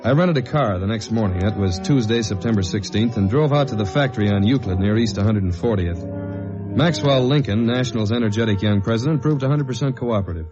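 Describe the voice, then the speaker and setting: An actor playing a dogged American government investigator in a 1950s radio detective drama, dry and understated. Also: male voice, on the low side, quick pace, close to an everyday voice. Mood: dry, reflective. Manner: dry, understated, hard-boiled. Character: tall, dogged government investigator narrating his case journal. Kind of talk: 1950s radio detective drama, first-person narration and dialogue. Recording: muffled old radio recording with music.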